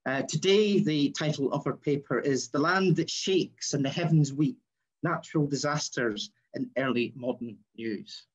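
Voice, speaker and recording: A man's voice: on the high side, moderate pace, slightly rough. A middle-aged man chairing an academic panel, introducing the next speaker over a video call. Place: home study with bookshelves, indoors. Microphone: laptop webcam mic, video call. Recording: muffled lo-fi video call audio.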